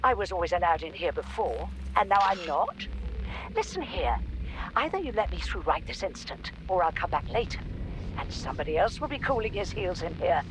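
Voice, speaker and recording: An actress playing a brusque, commanding, foul-mouthed old granny in a video game. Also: female voice, fairly low pitch, very animated, a little fast, noisy background. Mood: threatening. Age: older.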